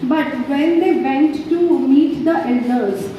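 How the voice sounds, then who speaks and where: A woman from India with an Indian English accent, whose first language is Hindi, giving an in-house teacher training talk with many halting fillers and restarts. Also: high-pitched, mellow, a little hiss or hum. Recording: echoey hall from far off, dull.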